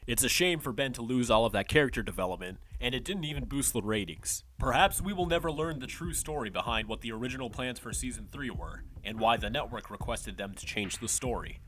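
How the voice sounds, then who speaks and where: A man's voice: medium pitch, expressive, fairly quick, hardly any pauses, fairly bright tone, a little hiss or hum. A young man delivering scripted narration as a video essayist. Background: faint music.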